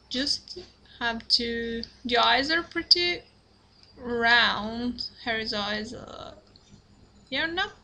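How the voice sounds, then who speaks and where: A young Italian woman talks through a drawing lesson at her computer in hesitant, accented English. She speaks slowly, with a bright, fairly high voice, stopping often to apologize and search for words. A faint hiss sits behind her. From Italy. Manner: halting, apologetic, many fillers and restarts. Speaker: drawing tutorial creator. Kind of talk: hesitant screen-recorded drawing tutorial. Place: at a computer, indoors.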